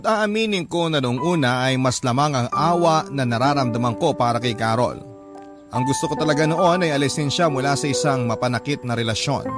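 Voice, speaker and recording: A man plays a sincere young man confiding his love story. The voice is mid-pitched, earnest and reflective, in radio-drama narration. Music is clearly heard behind him. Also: lightly acted. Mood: confiding, sombre. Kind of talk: first-person letter narration in radio drama.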